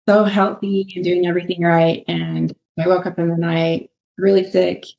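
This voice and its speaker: Mid-aged lady fitness trainer, lowish gravelly voice, stops and starts, laughs a lot. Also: a little mellow, rough recording. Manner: hesitant, laughs often. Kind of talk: casual interview answers.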